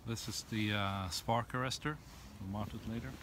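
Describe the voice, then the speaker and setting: A middle-aged man casually demonstrating outdoor gear in a halting, unscripted way, recorded on a camera in a tent and snowy woods. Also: fairly low pitch, fairly even intonation. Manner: hesitant, halting step-by-step explaining. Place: snowy forest and inside a tent. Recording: clearly noisy camera audio with clicks.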